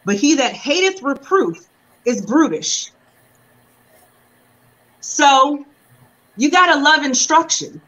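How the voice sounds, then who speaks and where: A young woman preaching a sermon over a video call from home, reading scripture slowly and then explaining it in relaxed preaching talk. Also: sits high, low-quality recording. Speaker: reverend preaching. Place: room at home. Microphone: video call webcam.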